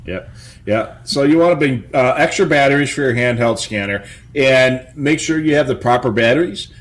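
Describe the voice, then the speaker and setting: A man co-hosting a hobby show, giving practical tips in casual, halting speech with stammered pauses. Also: mid-range voice.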